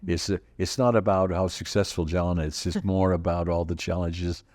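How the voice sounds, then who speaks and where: A man in his eighties with a Dutch accent, telling stories from his life in a halting, rambling way, full of fillers and restarts. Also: low-pitched, flat delivery, a little mellow, dry recording. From Northern Netherlands. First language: Dutch regional dialect. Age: 80s. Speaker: podcast host. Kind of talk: casual conversational storytelling.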